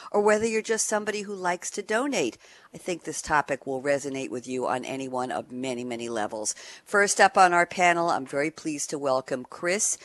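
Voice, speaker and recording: An upbeat radio host who speaks clearly and leans on rhetorical questions. The sound is dry and close, with no room around the voice. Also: mid-range voice, fairly bright tone, no room sound. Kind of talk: hosting a radio panel discussion.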